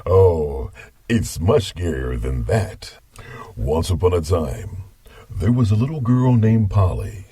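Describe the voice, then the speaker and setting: A man playing a teasing, mischievous grandfather with a husky voice, telling a spooky bedtime tale. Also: sits low, big pitch swings, slow pace, mellow, dry recording, full character voice. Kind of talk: acted bedtime storytelling.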